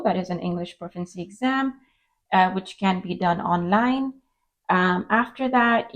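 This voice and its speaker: A woman's voice: on the low side, rough recording. A woman from Dubai, a veterinarian, explaining things carefully with many fillers as she answers interview questions. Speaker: veterinarian guest.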